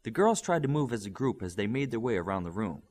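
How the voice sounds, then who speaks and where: A man narrating an audiobook in an expressive, steady storytelling manner. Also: on the low side, fast. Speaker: audiobook reader. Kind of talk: scripted narration.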